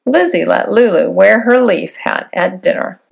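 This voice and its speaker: A woman giving an expressive read-aloud narration of a children's storybook. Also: medium pitch, moderate pace, creaky, a crowd in the background. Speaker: storybook reader. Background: background music.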